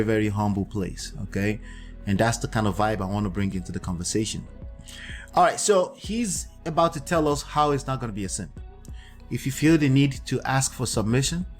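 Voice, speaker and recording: A man with a Nigerian accent hosting a livestream, lecturing his viewers and checking in with frequent "okay?" and "right?". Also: mid-range voice. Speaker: livestream host. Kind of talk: livestream commentary.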